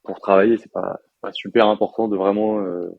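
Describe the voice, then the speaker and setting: A young man in his twenties from France, a software developer chatting casually in an interview with lots of fillers and slang. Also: fairly low pitch, steady tone, fairly quick, creaky, no echo, narrow, tinny audio. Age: 20s.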